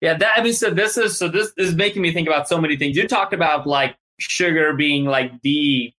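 A man's voice: fairly high pitch, fairly quick, sharp tone. A man hosting an interview, asking loose, rambling questions with many restarts. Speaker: show host. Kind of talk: casual interview chat.

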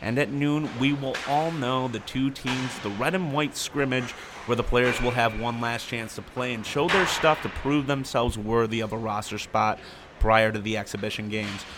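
A man's voice: medium pitch, moderate pace, background noise. A young man hosting a sports radio show, reading a scripted report on location. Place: on location at hockey training camp arena.

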